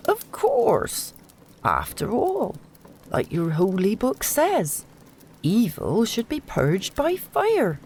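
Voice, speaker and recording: A woman voicing a calm, courteous male village elder with quiet menace, measured and polite in a storybook reading. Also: a little high, a little hiss or hum, pitched above the performer's own voice, exaggerated voice. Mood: quietly menacing.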